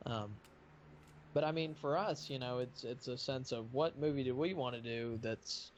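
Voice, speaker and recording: A man hosting a casual live stream chat, talking in a rambling, halting way. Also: medium pitch. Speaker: live stream host of a fan dubbing project. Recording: muffled audio with light background noise.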